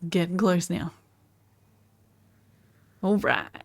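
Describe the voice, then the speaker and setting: Australian woman streamer, chatty commentary, lowish voice, bouncy intonation, dry sound. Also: animated, extremely slow, vocal fry, no room sound. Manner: chatty, answers viewers' chat. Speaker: gameplay streamer. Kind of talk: live-stream gameplay commentary.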